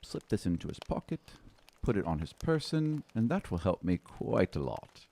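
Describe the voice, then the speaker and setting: A man plays an elegant noblewoman in a tabletop roleplaying game. The voice is lively in pitch, indulgent and commanding, as if every favor is part of a scheme. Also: normal speed, heavily acted. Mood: confiding. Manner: smooth, indulgent, doting endearments.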